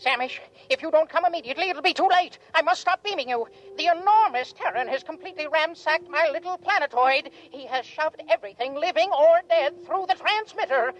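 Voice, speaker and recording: High-voiced theatrical alien schemer. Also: female voice, animated, fairly quick, hardly any pauses, low-quality recording. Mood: desperate, pleading. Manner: theatrical, grandiose, florid phrasing. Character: scheming alien creature, smug schemer turned desperate pleader. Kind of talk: 1950s radio drama acting. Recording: old radio recording with faint music.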